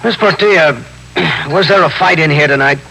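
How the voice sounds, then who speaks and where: An actor in a 1950s radio drama playing a methodical, matter-of-fact police captain who narrates and questions in a measured way. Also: male voice, a little high, vocal fry, sharp tone, breathy edge, heavily acted. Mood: businesslike. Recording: noisy vintage radio broadcast recording.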